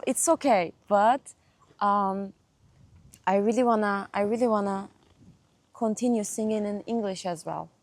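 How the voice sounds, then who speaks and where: A young woman from Turkey, whose first language is Turkish, chatting as a guest musician in an interview, laughing often and pausing with fillers. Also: medium pitch, no echo. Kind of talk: casual interview chat.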